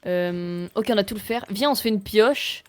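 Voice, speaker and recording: A young woman streaming a game, chatting casually and excitably with her friend and the chat. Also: medium pitch, moderate pace, slight background noise.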